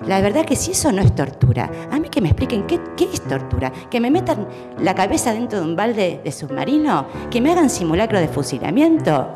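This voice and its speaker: A woman's voice: medium pitch, big pitch swings, brisk. An Argentine woman giving impassioned, insistent testimony. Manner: emphatic, insistent. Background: music.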